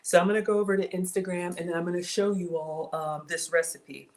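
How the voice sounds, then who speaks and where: A woman's voice: on the low side, fairly even intonation, brisk. A woman born in Ghana and raised in the American South, hosting a casual live cooking stream from her home kitchen, chatting with viewers and reading their comments aloud. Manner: chatty and warm with viewers, thanks supporters, says "y'all". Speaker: cooking livestream host.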